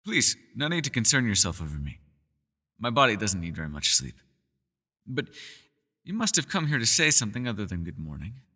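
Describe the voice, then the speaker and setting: A man's voice: on the low side, very animated, moderate pace, long pauses, bright, forceful tone, big-room sound, heavily acted. A man voicing a centuries-old elf scholar in an ASMR roleplay, courteous and meticulous, with formal wording and small hesitant stammers. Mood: polite, warm. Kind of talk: ASMR roleplay voice acting, one-sided dialogue.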